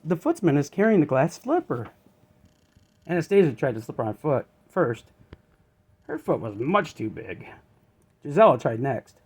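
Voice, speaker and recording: A man reading a children's story aloud as an expressive narrator. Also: fairly high pitch, husky.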